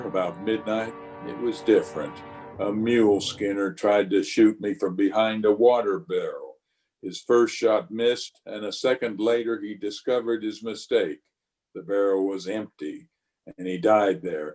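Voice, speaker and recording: A man's voice: on the low side, darkish tone, natural voice. A man playing a grave, conscience-stricken frontier marshal in an old-time radio western, speaking plainly and wearily. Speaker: actor and narrator in an amateur radio play. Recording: voice recorded over an internet call.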